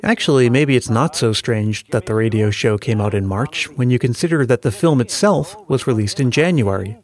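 A man's voice: mid-range voice, normal speed, darkish tone. American man hosting a scripted podcast. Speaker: podcast host. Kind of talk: scripted narration. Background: faint music.

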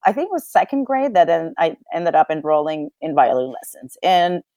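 A woman from Venezuela, talking as a guest in a casual interview, animated and quick with asides. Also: low voice, dry recording, highs cut off. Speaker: guest violist.

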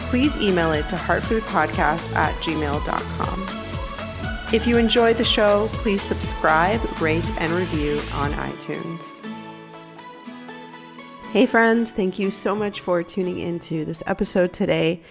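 Low-voiced congested woman podcast host. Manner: chatty, candid, with fillers and laughs. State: congested from a cold. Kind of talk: solo podcast talk. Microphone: home podcast microphone.

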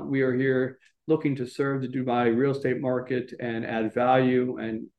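A man's voice: mid-range voice, a little mellow. A man hosting a podcast interview, asking loose questions that restart and ramble. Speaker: podcast host. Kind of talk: casual interview.

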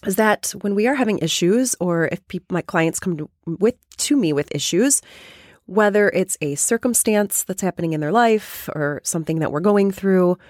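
A woman life coach hosting a podcast and explaining a method step by step, with a hoarse, raspy voice from a cold. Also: on the low side, lively intonation, normal speed, darkish tone, no room sound, clean studio sound. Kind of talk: casual coaching talk.

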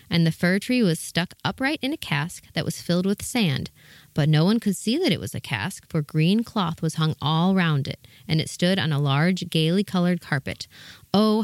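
Low-voiced woman narrating a fairy tale. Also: expressive, normal speed, no room sound. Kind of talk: scripted storybook narration.

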